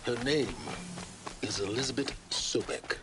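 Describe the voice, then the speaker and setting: An actor playing an enigmatic, knowing male informant in a video game, measured, cryptic and commanding as he gives instructions. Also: medium pitch, natural voice. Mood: revealing. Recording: distant voice under very loud noise.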